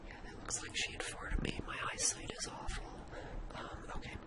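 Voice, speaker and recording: Whispered ASMR coding tutor. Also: normal speed. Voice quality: breathy unvoiced whisper. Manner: informal, hesitant, thinking aloud. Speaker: tutorial host.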